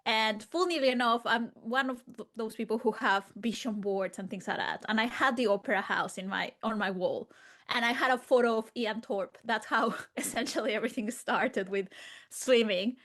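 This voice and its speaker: A woman's voice: on the high side, moderate pace, a bit bright. Chatty woman. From Mexico. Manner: chatty and enthusiastic, with laughs and restarts. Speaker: guest sports nutritionist. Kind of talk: casual interview answers.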